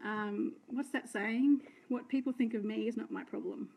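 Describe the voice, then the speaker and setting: An Australian woman in her thirties chatting unscripted on a live phone video from a room at home, her voice a little croaky, rambling and self-deprecating as she tells her story. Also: on the high side, normal speed, lo-fi sound. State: slightly croaky. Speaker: direct sales team leader. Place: indoor room by curtains. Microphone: phone held close, front camera.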